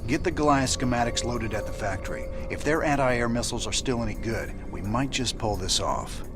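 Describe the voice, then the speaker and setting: An actor playing a gruff, weary but decent rebel commander in a video game, with a laconic folksy drawl. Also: male voice, mid-range voice, animated, brisk, music in the background, close to an everyday voice. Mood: brisk, commanding. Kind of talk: video game cutscene acting.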